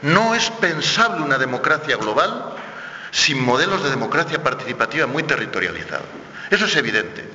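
A man from Spain speaking on a debate panel in an animated, colloquial, emphatic way. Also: on the high side, a little fast. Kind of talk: round-table debate. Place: theatre school hall. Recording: echoey hall, dull sound.

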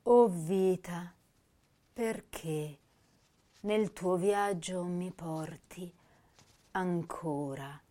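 An actress playing an intense, sensitive young woman poet, declaiming verse in a measured, lyrical way for a radio drama. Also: on the low side, warm tone. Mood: anguished pleading. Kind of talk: dramatic poetry recital in a stage-style radio drama.

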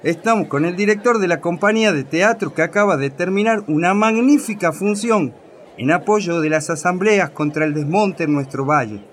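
A man acts a courteous field reporter in a radio play. His voice sits on the high side, with formal interviewer phrasing. Faint noise runs underneath. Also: moderate pace, close to an everyday voice. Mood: formal, interested. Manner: formal, polite interviewer delivery. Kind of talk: radio drama acting.

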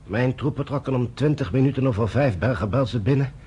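An actor in a radio play, playing a troubled, conscientious British general, grave and measured, with a husky voice. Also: male voice, mid-range voice, mellow. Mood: grave testimony. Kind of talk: Dutch radio play acting.

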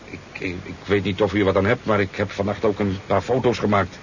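A male actor plays an easygoing fisherman, a garrulous eyewitness in a 1970s radio drama. His voice is low and he rambles hesitantly as he spins his story. The recording is dull, with faint noise behind it. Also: level tone, quick pace, close to an everyday voice. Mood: modest, obliging. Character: talkative, easygoing sport fisherman, a boozy eyewitness.

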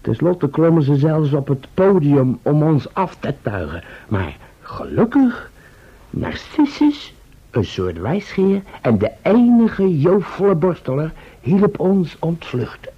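Very raspy, cheeky storyteller. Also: male voice, a little high, very animated, takes it slowly, heavily acted. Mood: wry recounting. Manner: colloquial, wry. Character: chatty, cheeky young assistant recounting his adventures. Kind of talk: radio drama narration. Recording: muffled old radio play recording.

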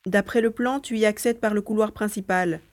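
A woman playing a cautious, worried parent who guides a partner remotely in an audio drama, protective and gently wry. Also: medium pitch, steady tone, brisk, natural voice. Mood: anxious.

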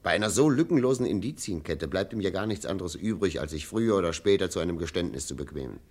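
A pragmatic police colleague in an old radio crime drama. His voice is deep with lively swings in pitch, arguing in a matter-of-fact, unbothered way. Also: fast. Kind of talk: mid-century German radio crime drama acting.

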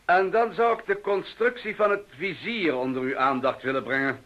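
An actor playing a formal, deliberate arms salesman with quiet deadly intent in a radio play. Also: high-pitched, natural voice. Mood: formal, polite. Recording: dull old radio recording.

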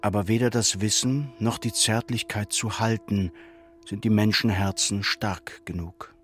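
A man narrating a literary radio drama with grave, measured, dramatic delivery. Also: fairly low pitch, fairly even intonation, fairly bright tone, soft background music.

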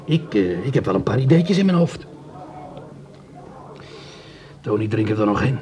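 Blunt, jobless bricklayer in a café. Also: male voice, fairly low pitch, very animated, quick pace, dark tone. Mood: wistful. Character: down-to-earth jobless bricklayer, sceptical, food-loving drinker. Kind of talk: radio play dialogue. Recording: café crowd behind the voice.

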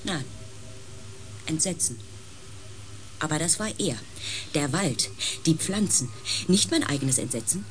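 An actress in a German radio play, playing a sober, uneasy crew member of a space expedition in a grave, restrained manner. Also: female voice, low voice, animated, measured pace, long pauses, soft background music. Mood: resigned.

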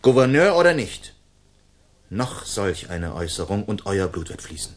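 A gallant outlaw swordsman with a fairly low voice. He is proud and defiant, giving formal commands and threats in the manner of a radio play. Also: sing-song, moderate pace. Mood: threatening. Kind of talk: German radio play acting.

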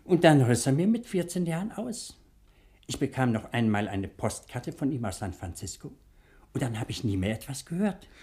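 A man's voice: mid-range voice, sing-song, moderate pace, dark tone. An actor playing a kindly, fatherly older uncle in a German radio drama, soothing and courteous. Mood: fond, concerned. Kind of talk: 1960s German radio play acting.

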